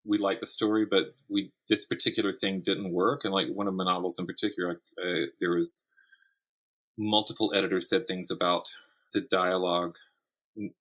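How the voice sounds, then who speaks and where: Low, bright-voiced man in conversation. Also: flat delivery, moderate pace. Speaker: guest, writer. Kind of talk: conversational interview.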